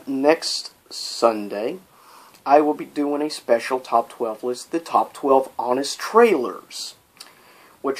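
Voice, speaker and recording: A man hosting a casual, opinionated vlog, talking informally with rambling asides and exasperated rhetorical questions. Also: mid-range voice. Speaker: video vlogger, host. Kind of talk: casual, opinionated top-list vlog commentary.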